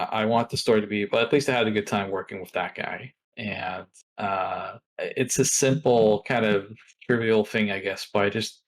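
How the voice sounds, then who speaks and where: A man's voice: fairly low pitch, rough recording. A middle-aged man from Norway, a podcast guest talking reflectively with many restarts. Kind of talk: casual interview talk.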